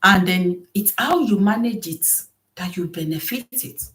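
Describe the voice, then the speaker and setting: A soft-voiced middle-aged woman hosting a podcast, giving earnest solo advice on marriage and often repeating key phrases for emphasis. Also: mid-range voice, animated, a little slow. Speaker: podcast host.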